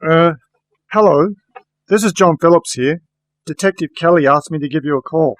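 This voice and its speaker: A man playing a weary, wry private investigator who narrates a noir radio play in deadpan style. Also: fairly high pitch, normal speed, natural voice. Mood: hesitant. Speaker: narrator and protagonist.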